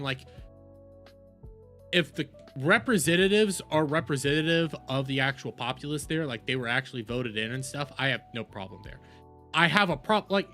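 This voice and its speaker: A man giving a casual, exasperated political rant as a streamer, halting and repeating words, sometimes swearing. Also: on the high side, fairly bright tone. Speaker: streamer, host.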